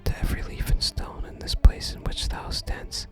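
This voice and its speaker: An ASMR gamer voicing a solemn, archaic-speaking sage from a fantasy game in a hushed whisper. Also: normal speed, close to an everyday voice. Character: solemn archaic sage guarding a sacred staff. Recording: soft music under the whisper.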